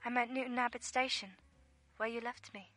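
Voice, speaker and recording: Eerie, bright-voiced woman caller, acted by a woman. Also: fairly high pitch, flat delivery, brisk, no echo, faint music behind. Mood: plaintive, insistent. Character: eerie, insistent young woman calling from a station. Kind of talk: radio play acting.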